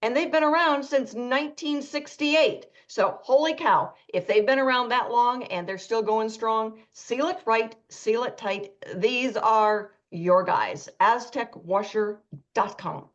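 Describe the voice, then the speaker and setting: A woman's voice: on the high side, unhurried, dull audio. A woman hosting a radio talk show, chatty and anecdotal, acting out quoted lines as she talks.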